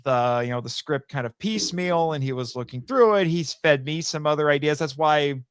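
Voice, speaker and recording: Talkative man, slightly high-pitched, closely recorded. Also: moderate pace, clear, resonant tone, dry recording, clean studio sound. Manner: animated, long-winded, laughs often. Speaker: podcast guest, audio drama writer and voice actor. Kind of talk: casual interview chat.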